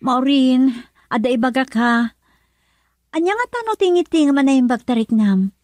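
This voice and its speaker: High-voiced, concerned Filipina confidante. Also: female voice, animated, moderate pace, a little mellow, no room sound. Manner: earnest, advising. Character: concerned female confidante counselling her lovelorn friend. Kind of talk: Ilocano radio drama acting.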